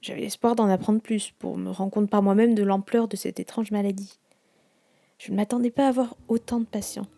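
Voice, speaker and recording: A woman playing a composed, attentive 1950s village doctor in an audio drama, speaking in a measured, questioning way. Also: mid-range voice, steady tone, vocal fry, mellow, no echo, clean studio sound, close to an everyday voice. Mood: concerned, reflective. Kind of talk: audio fiction acting, journal narration.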